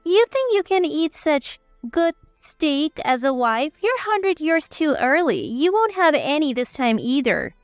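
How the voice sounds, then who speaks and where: Woman voicing a haughty, high-pitched domineering mother-in-law. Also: very animated, a little slow, hardly any pauses, no room sound, soft background music, full character voice. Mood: scornful. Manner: bossy, haughty lecturing. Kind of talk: dramatized story narration.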